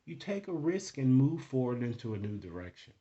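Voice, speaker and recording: A man's voice: mid-range voice, sing-song, brisk, dark tone, dull audio. A man speaking casually as a tarot reader, improvising to the viewer and often checking in with short tag questions. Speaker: tarot card reader, host. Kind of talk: casual, improvised card reading addressed to the viewer.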